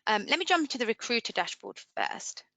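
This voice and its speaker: A woman giving an informal software demo as a webinar trainer, explaining conversationally and putting questions to listeners. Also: fairly high pitch, big pitch swings, fairly quick, no echo. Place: indoors, screen-share webinar voice-over.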